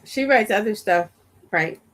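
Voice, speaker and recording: Female co-host, unhurried and informal, expressive pitch, faintly noisy recording. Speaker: book show co-host. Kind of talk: casual chat.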